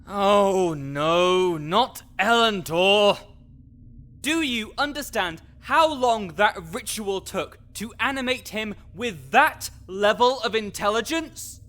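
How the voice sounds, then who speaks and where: A man's voice: sits high, lively intonation, slow pace, fairly bright tone, heavily acted. A man playing a grouchy, scholarly necromancer in an audio roleplay, condescending, pedantic and sarcastic. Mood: indignant outrage. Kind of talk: audio roleplay acting addressed to the listener.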